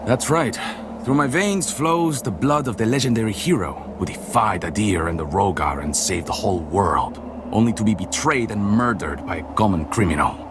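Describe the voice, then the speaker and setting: An actor playing a self-important, condescending nobleman in a dark fantasy game, with a flowery, theatrical delivery. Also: on the low side, moderate pace, noisy background, natural voice. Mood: pompous, boastful.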